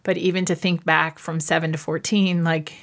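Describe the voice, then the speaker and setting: A woman hosting a conversational intuitive reading, listening with frequent affirmations and explaining calmly. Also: deep voice, moderate pace. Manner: warm encouraging listener, frequent mm-hmms. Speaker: medical intuitive host. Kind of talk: casual conversation.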